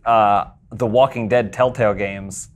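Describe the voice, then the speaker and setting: A man's voice: fairly low pitch, steady tone, measured pace. A young man co-hosting a podcast, speaking earnestly and reflectively about games in casual conversation. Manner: earnest, reflective, laughs easily. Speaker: podcast co-host. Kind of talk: casual chat.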